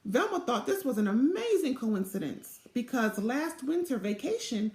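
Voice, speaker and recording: A middle-aged woman reading a children's storybook aloud with expressive, deliberate narration, recorded on a device mic in a small office. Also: a little high, echoey room. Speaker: storybook reader.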